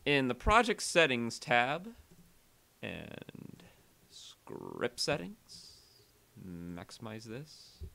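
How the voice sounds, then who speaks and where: A man presenting a casual software tutorial in a conversational voice-over, with uneven energy and weight on key words. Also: medium pitch, huge pitch swings, drawn-out words, vocal fry, a little hiss or hum.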